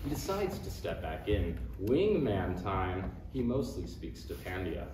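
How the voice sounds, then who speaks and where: Male script narrator with animated intonation for a table read; distant mic, clear background noise. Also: sits low, unhurried. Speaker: stage-direction reader. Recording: echoey, noisy room recorded from far off.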